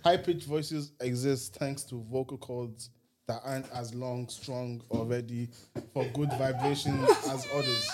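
A man's voice: mid-range voice, steady tone, a little slow, a little hiss or hum. A man chatting casually, dropping in dry remarks and facts. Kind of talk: casual chat.

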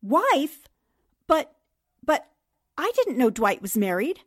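An actress playing a sharp, observant, inquisitive newspaper reporter in a 1940s-style radio drama. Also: female voice, high-pitched, very animated, slow, heavily acted. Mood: surprised, questioning. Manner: probing, precise, questioning.

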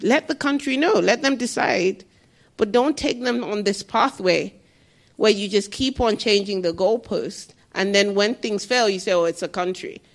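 A woman speaking on development finance and energy, answering questions with candid, animated asides. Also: medium pitch, normal speed. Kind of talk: answering audience questions at a talk.

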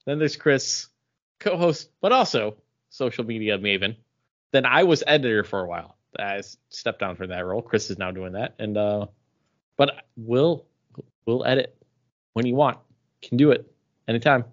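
A man's voice: mid-range voice, lively intonation, moderate pace, pauses often. A man with a mid-range voice chats casually as a podcast co-host. He has a joking, self-deprecating manner. The recording sounds a bit dull and lo-fi. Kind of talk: casual chat.

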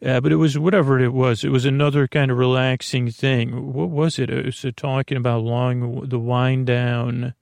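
A man hosting a bedtime podcast in a gravelly voice, rambling in a halting, meandering way, repeating words and circling back after losing the thread. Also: mid-range voice, flat delivery, darkish tone, no room sound, clean studio sound. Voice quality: gravelly, creaky voice. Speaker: podcast host.

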